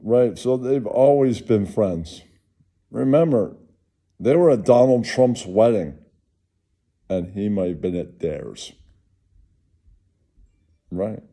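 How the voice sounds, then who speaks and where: A man hosting with casual, rambling political commentary, halting and repeating himself, sometimes crude. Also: lowish voice, lively intonation, warm tone. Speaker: host.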